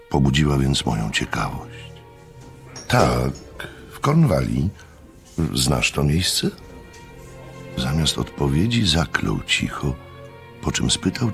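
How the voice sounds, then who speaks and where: Radio drama narrator: a measured, literary storyteller, male, very low and creaky, faint music. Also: exaggerated voice.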